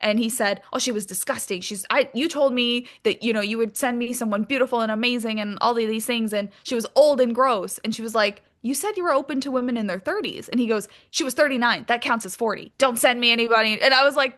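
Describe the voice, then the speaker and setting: A woman's voice: a little high, fairly quick, hardly any pauses, a bit bright. A woman chatting casually as an interview guest, with a playful, informal manner. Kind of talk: casual chat.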